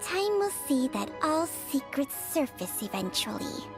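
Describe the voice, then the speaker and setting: A woman's voice: high-pitched, big pitch swings, a little slow, gravelly, music in the background, heavily acted. A woman voicing a proud, ancient female snake spirit in a game, speaking in a haughty, scolding manner. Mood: earnest. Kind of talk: game voice acting.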